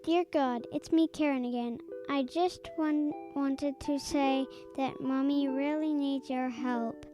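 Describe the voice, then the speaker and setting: A female performer playing an earnest, insistent little girl in an audio drama, matter-of-fact and a bit bossy. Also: sits high.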